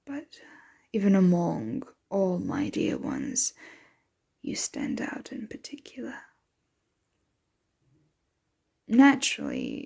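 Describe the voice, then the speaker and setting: Breathy half-whisper, slow pace, ancient female vampire played by a woman, doting, seductive, wistful, ASMR roleplay. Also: mid-range voice, darkish tone, heavily acted. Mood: wistful, confiding. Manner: slow, tender, soothing, refined wording.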